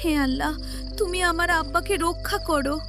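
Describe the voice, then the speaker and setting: A woman's voice: very high voice, moderate pace. A woman playing a devoted, brave teenage village girl in a cartoon story, with earnest, emotional delivery. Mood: anxious, determined. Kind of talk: melodramatic cartoon story acting. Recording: loud music behind the voice.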